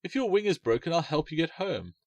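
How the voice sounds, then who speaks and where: A man's voice: on the high side, sing-song, brisk, dry recording, voice pushed up. A man voicing an earnest, dreamy young girl who bosses her little brother and fusses over a hurt fairy. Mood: soothing.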